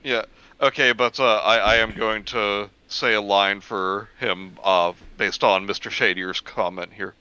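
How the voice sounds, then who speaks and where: A man's voice: fairly low pitch, fairly even intonation, a little slow, pushed and bright, smooth. A man co-hosting a casual chat, interjecting in a conversational way on a dry, slightly muffled recording. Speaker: co-host.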